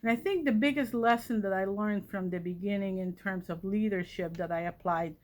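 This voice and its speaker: A woman's voice: mid-range voice, normal speed. A woman, a college vice president telling her personal story in a reflective, conversational way. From Catalonia, Spain. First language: Catalan. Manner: reflective, conversational, many fillers. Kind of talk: personal origin story talk.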